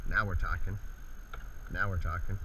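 A man's voice: deep voice, fairly even intonation, normal speed, recorded from a distance, very noisy. A man giving laid-back, casual commentary in short remarks while fishing outdoors from a kayak, recorded on a body-worn action camera. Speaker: kayak fisherman vlogger. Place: outdoors on open water in a kayak.

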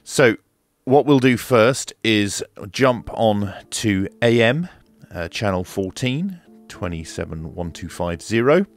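Male product reviewer, deep register, slow hesitant delivery, plain step-by-step walkthrough. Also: expressive, soft background music. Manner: unhurried, matter-of-fact, hesitant step-by-step explaining. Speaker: radio reviewer and demonstrator. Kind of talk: casual product demonstration. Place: indoor room at a desk.

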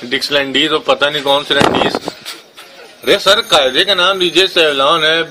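A man's voice: fairly high pitch, unhurried, background noise. A man in a casual phone conversation, speaking as a shop worker in a colloquial, slangy, argumentative manner. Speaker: pharmacy worker taking a phone order.

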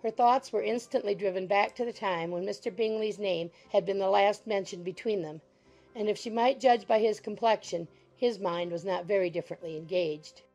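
A woman narrating a classic novel as an audiobook in an even, composed storytelling manner. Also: mid-range voice, moderate pace, soft background music, rough recording.

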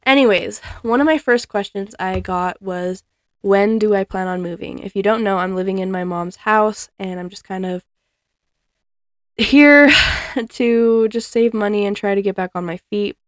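A woman's voice: mid-range voice, a little slow, no echo, studio quality. Chatty young woman folding laundry at home. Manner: chatty, laughs often, frequent ums. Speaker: cleaning vlogger. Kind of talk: casual chat while folding laundry. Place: living room at home, indoors. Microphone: fixed camera across the room.

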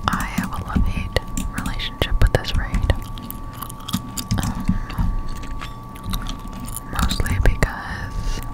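A woman whispering casual, halting ASMR commentary over gameplay in a breathy voice, close to the microphone. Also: low-quality recording. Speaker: gaming streamer, ASMR creator.

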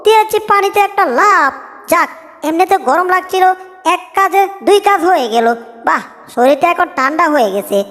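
A woman's voice: extremely high pitch, expressive, moderate pace, fairly bright tone, faint music behind. A woman voicing a small, cheeky cartoon mouse with a chirpy, exclaiming manner. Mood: relieved. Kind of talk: Bengali cartoon dub comedy. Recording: long hall-like reverb.

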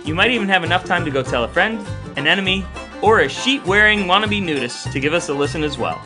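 A man's voice: high voice, expressive, fast talker, over music. A man co-hosting a podcast, chatting and presenting from partly scripted notes. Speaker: podcast co-host.

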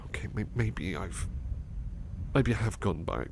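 A man playing a gentle, anxious, sleepy male servant in a soft ASMR roleplay, speaking hesitantly and repeating his words. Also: on the low side, lively intonation, unhurried, vocal fry, background noise. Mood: hesitant, deferential. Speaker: roleplay voice performer.

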